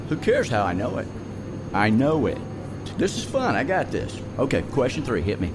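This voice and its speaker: A man's voice: mid-range voice, wildly swooping pitch, moderate pace, over music, rough recording. An actor playing an everyman corporate husband, bantering and defensive in a naturalistic audio drama. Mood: cocky, playful.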